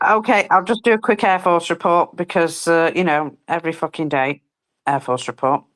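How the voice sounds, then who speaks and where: A woman with a very low voice reads out numbers in a casual live commentary. She talks bluntly and swears freely, with chatty asides along the way. Her voice is going hoarse as she loses it. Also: steady tone, moderate pace, fairly bright tone. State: losing her voice. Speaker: co-host reading daily war figures.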